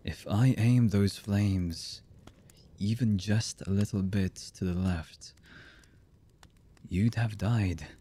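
A voice actor playing a cold, embittered villain man in an ASMR roleplay, husky and menacing, with halting delivery that often drops to a murmur. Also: dark tone. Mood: threatening, probing. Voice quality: husky, low.

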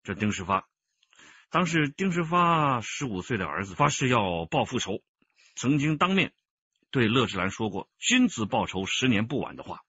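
An actor playing a commanding, measured police squad chief in a radio drama, with a husky voice. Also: male voice, medium pitch, sing-song, sharp tone, dull audio, exaggerated voice. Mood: grave, measured.

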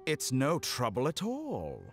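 An actor playing a suave, scheming male villain in a game cutscene, smooth and condescending, with music underneath. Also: medium pitch, huge pitch swings, takes it slowly, full character voice. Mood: mocking. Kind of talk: video game voice acting.

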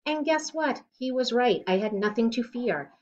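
A woman's voice: on the high side, expressive, normal speed, a little mellow. Middle-aged woman reading aloud, dull lo-fi sound. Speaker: teacher reading a picture book aloud. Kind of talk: read-aloud storytelling of rhyming children's book. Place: living room at home, indoors.

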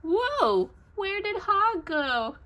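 An eager, carefree elephant from a picture book, performed by a woman. The voice sits high and swoops widely, with dramatic exclamations and an excitable temper. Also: extremely slow, full character voice. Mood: excited.

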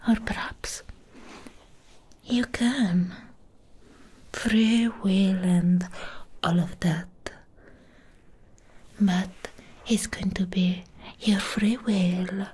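Woman playing a slow, whispering possessive vampire ex-girlfriend. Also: mid-range voice, mellow, full character voice. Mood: smug, menacing. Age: young adult. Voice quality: breathy whisper. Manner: slow, drawn-out, coaxing. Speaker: ASMR roleplayer. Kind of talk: soft-spoken ASMR roleplay. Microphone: close microphone.

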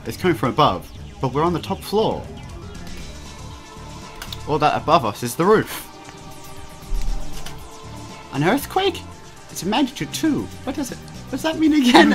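A young man playing a video game, reading its text aloud with stumbles and joking asides. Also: on the high side. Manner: stumbling read-aloud delivery, often breaking into laughter. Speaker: let's player reading a visual novel aloud. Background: video game music.

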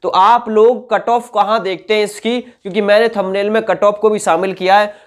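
A man's voice: high voice, fairly even intonation, moderate pace. A young man speaks like a coaching teacher addressing students on camera. He explains things informally but emphatically. He restates key points and often checks that he is being followed. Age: 20s. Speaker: exam coaching YouTuber. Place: indoor room with whiteboard.

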